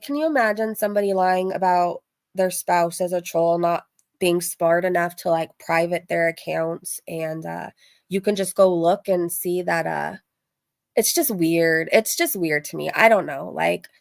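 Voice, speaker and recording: A woman's voice: fairly low pitch, vocal fry, no echo, studio quality. A woman hosting a livestream in a casual, rambling, informal way, repeating phrases for emphasis as she chats. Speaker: livestream host reacting to drama and reading chat.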